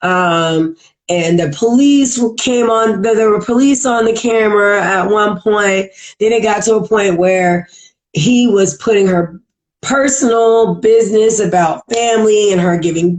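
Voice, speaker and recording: A woman with a heavy, raspy voice and a congested throat, hosting a casual live podcast chat, chatty and full of "like" and "um", often clearing her throat. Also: medium pitch. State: congested from allergies. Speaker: podcast host. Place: at home in Virginia.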